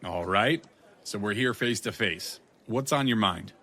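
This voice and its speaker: Audio drama detective male actor: laconic, sardonic ex-Marine, forceful lowish voice. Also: unhurried. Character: wry, guarded young ex-Marine detective, quick with retorts.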